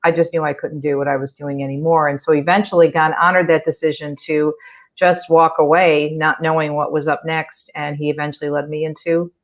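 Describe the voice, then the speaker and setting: A middle-aged woman who hosts her own radio show is a guest in a casual interview. She speaks in a very low voice and tells her story earnestly. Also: flat delivery, fairly quick. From New Jersey.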